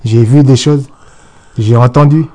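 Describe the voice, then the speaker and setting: Insistent man with a mellow voice. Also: medium pitch, steady tone, a little slow. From New Caledonia. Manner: insistent, repetitive, restarts often. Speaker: guest. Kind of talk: political interview.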